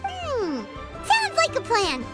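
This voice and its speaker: A woman voicing a tiny, excitable fairy companion girl in a video game, with a squeaky, childlike manner. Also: slow pace, faint music behind, exaggerated voice. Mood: curious.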